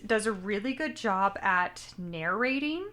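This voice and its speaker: A woman's voice: medium pitch, lively intonation, unhurried, pushed and bright. A young woman co-hosting a casual anime podcast, chatty and rambling, often second-guessing how she pronounces names. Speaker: podcast co-host.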